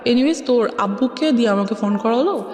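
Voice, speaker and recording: A woman's voice: on the high side, a little fast, darkish tone. A woman with a Bangladeshi accent telling a personal story as a guest in a conversational way, often switching into English. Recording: echoey long reverb, music bed. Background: background music.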